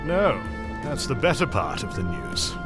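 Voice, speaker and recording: A man playing a kindly grandmaster of knights in a fantasy audio drama, reassuring and measured. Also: mid-range voice, huge pitch swings, loud music behind the voice. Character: kindly, steady male grandmaster of a knightly order.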